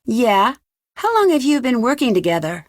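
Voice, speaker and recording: A woman's voice: fairly high pitch, very animated, moderate pace, no room sound, studio quality. An actress playing a curious, polite young woman detective in a video game, asking questions articulately. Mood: curious, friendly.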